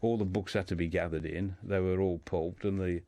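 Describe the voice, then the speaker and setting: A man speaking as a guest in a radio discussion, hesitant and halting, often repeating his words as he explains. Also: low-pitched, fairly even intonation, warm tone, no echo. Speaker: guest discussing a writer's life and books.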